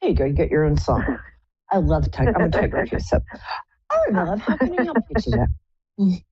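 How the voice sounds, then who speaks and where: A woman with a low contralto voice hosting a live psychic call-in show, chatty and effusive, calling callers pet names. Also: big pitch swings, fairly quick, a little mellow, breathy edge, no room sound, highs cut off. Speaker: psychic radio host.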